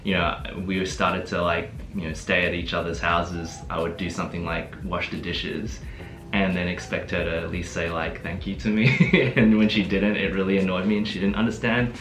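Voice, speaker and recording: A man's voice: low-pitched, moderate pace. A young man from Adelaide with an Australian accent, chatting easily about his relationship with lots of laughs and fillers. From Adelaide, South Australia. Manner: relaxed, laughs often, many fillers. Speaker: guest, host's fiancé. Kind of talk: casual relationship Q&A. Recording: echoey room with background music.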